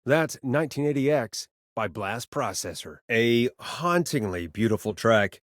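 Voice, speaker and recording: A male actor performs a smooth, cheeky radio host on a late-night rock show for a video game. His pitch swings widely as he talks like a showy announcer. The man's voice sounds close and dry, with no room around it. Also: animated, a little slow, dry recording, natural voice. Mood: showy, upbeat. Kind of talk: radio DJ patter and game voice lines.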